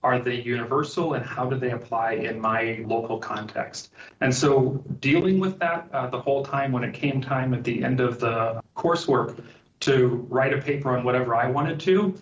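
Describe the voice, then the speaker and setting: A middle-aged man from Liberia, a podcast guest, speaks in a thoughtful, measured way. He sounds far from the mic, in an echoey room. The audio is dull and lo-fi, like a remote call. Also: mid-range voice. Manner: thoughtful, links ideas with "and so". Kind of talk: interview answers.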